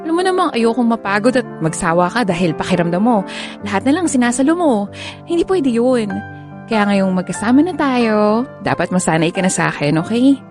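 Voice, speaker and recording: A woman's voice: a little high, sing-song, fairly quick. A woman with a Filipino accent playing an affectionate, independent young girlfriend in a conversational audio drama. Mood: firm, caring. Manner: teasing, firm but fond. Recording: background music under dialogue.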